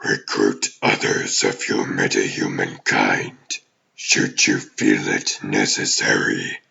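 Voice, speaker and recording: A man's voice: little pitch movement, pushed and bright, muffled recording, full character voice. A man voicing a menacing exiled alien demon warlord in a comic dub, grandiose and vengeful, with a growling, pompous delivery. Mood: bitter, grandiose. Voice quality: very deep, gravelly growl. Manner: pompous, slow theatrical villain speech.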